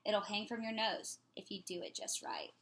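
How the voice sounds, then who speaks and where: A woman reading a children's picture book aloud in an expressive, playful way. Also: medium pitch, normal speed.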